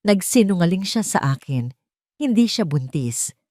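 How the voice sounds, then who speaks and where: A woman's voice: medium pitch, very animated, normal speed, warm tone, no echo, clean studio sound, pitched above the performer's own voice, close to an everyday voice. A woman voicing a wounded, guilt-ridden rich son in an audio love drama, anguished and pleading. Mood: distraught.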